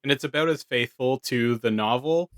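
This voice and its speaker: A man's voice: medium pitch, normal speed, a bit bright, clean tone, dry recording, studio quality. A man co-hosting a podcast, explaining things informally and in detail in a casual discussion. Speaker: podcast co-host and producer.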